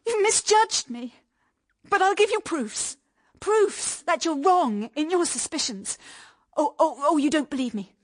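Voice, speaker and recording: An actress playing a pleading, proud young British wife in a period radio drama, speaking with emotional, halting delivery. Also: female voice, sits high, lively intonation. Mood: desperate. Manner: emotional, halting, stammering under strain.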